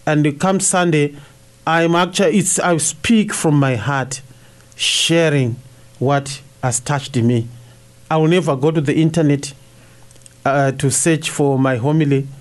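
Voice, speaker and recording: A middle-aged priest from Zimbabwe whose first language is Shona speaks in a fairly high voice for a man. He answers in a thoughtful, halting way, full of uhs and restarts. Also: steady tone. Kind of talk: radio interview answers.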